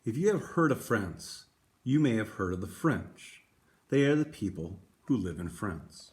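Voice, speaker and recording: A man hosting a read-aloud for schoolchildren, speaking in a deliberate storytelling way to young listeners. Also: lowish voice, very animated. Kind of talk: expressive children's picture book read-aloud.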